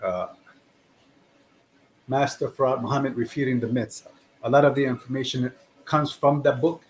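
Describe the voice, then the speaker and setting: A middle-aged man from Chile answering as a podcast guest in a modest, earnest and measured conversational way. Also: medium pitch, steady tone, low-quality recording. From born in Chile. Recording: faint background noise.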